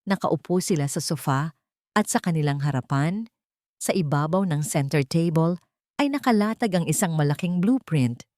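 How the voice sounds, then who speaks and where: A woman narrates a scripted romantic drama in a low-pitched voice. The recording is very dry, with no room around the words. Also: expressive, moderate pace, a little mellow, no echo. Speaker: audio story narrator.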